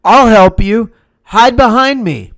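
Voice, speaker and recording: Guy doing a young boy in a kids story, really high voice, urgent, sneaky, calling out. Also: slow pace, fairly bright tone, dry recording, full character voice. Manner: urgent, exclaiming.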